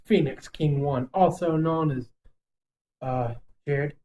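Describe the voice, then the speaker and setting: A man co-hosting a podcast, chatting casually about movies in an opinionated, animated manner. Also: on the high side, slow, a little hiss or hum. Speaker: podcast co-host.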